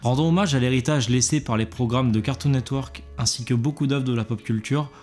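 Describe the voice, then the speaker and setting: A man's voice: mid-range voice, brisk, a little mellow. A young adult man co-presenting a cartoon review show, giving scripted commentary with casual asides.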